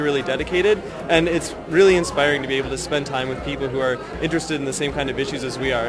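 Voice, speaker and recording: A young man, a student volunteer and mentor, chatting casually in an upbeat, loose way. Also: a little high. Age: young adult. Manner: quick, upbeat, loose with fillers. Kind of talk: casual interview testimonial.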